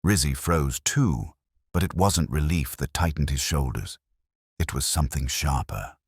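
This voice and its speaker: A man's voice: rumbling low, measured pace, breathy edge, no room sound, clean studio sound. A man narrating a first-person detective story in a wry, hard-boiled, scripted manner. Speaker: audiobook narrator.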